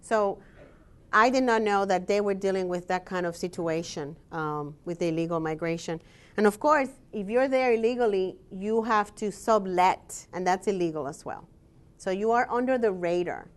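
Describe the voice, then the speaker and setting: Woman academic presenting her research; steady, explanatory delivery, pauses on "um.". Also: medium pitch. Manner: measured and explanatory, with frequent "um" pauses. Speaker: scholar and researcher giving a presentation. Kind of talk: presentation talk with slides.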